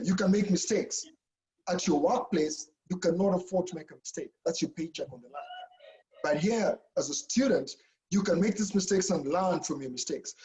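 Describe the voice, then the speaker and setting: Guy professor on a video call, warm mellow voice, keeps saying okay?. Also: a little high, low-quality recording. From Kenya. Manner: chatty, frequent "okay?" and restarts. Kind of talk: online info session talk.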